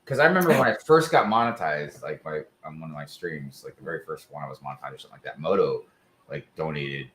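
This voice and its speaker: A man's voice: rumbling low, expressive, fast, fairly bright tone. A man chatting casually as a panel guest, laid-back and peppering his talk with "man" and "dude".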